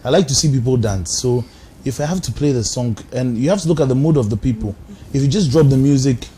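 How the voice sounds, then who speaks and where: A man's voice: medium pitch, fast, dark tone, slight background noise. A man who works as a DJ, a guest on a talk show, talking earnestly and restarting often. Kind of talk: casual interview talk. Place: TV studio.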